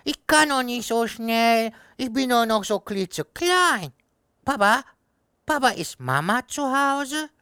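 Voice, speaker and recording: A woman's voice: a little high, animated, takes it slowly, fairly bright tone, clear, resonant tone, no echo, studio quality. A woman voicing the smallest earthworm child, who is whiny and asks many questions. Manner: whiny, pleading.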